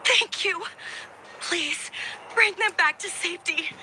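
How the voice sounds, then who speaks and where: Anxious, very high-voiced young civilian. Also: female voice, slow. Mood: worried, pleading. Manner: hesitant, earnest. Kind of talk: English game dub dialogue. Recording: clearly noisy, game ambience behind.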